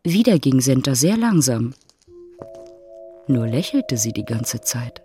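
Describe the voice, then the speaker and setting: An actress as a wry, husky storyteller, narrating a literary radio drama as if reading stage directions. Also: female voice, very deep voice, sing-song, takes it slowly, over music, heavily acted.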